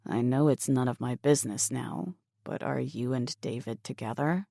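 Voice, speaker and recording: A woman voicing a regretful young man who speaks low, soft and hesitant. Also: moderate pace, vocal fry, dry recording, clean studio sound, exaggerated voice.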